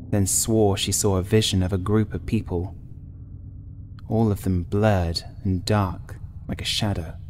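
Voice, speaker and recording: A man reading a scripted first-person horror story as an audiobook narrator, in a measured, literary way. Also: fairly low pitch, a little mellow, a little hiss or hum.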